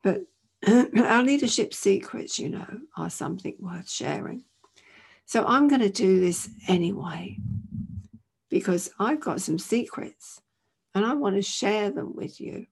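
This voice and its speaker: Croaky-voiced woman preaching. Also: medium pitch, animated, a little slow, darkish tone. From England. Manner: earnest, conversational, many "you know" asides. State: croaky voice with a chesty cough. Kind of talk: informal sermon to camera. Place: indoors at home.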